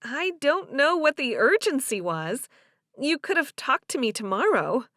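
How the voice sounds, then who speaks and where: A woman voicing an earnest young woman in audiobook dialogue, shy yet spirited, with an expressive, questioning manner. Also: high-pitched, unhurried, no room sound, clean studio sound, voice pushed up, exaggerated voice. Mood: indignant.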